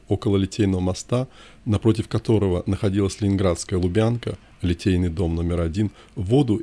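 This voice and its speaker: A man narrating a scripted first-person memoir in short, measured, declarative sentences. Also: fairly low pitch, dark tone.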